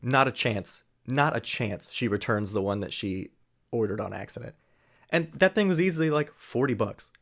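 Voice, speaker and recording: A man's voice: mid-range voice, expressive, moderate pace, vocal fry, a bit bright. A man giving dry, mocking commentary while reacting to a video. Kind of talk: sarcastic commentary over a played video.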